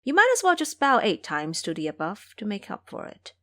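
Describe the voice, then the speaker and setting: A woman performs a matronly mother-in-law from an audio drama. She is shrewd and bustling, giving fussy commands with a very lively, varied pitch. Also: brisk. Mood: brisk, persuasive.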